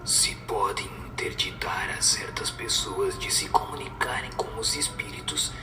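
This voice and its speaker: A man playing a solemn spirit teacher in a radio drama, speaking in a measured, didactic and breathy whispered voice. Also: mid-range voice, lightly acted. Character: solemn male spirit teacher giving moral answers. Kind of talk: scripted radio drama recitation. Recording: echoing voice over music.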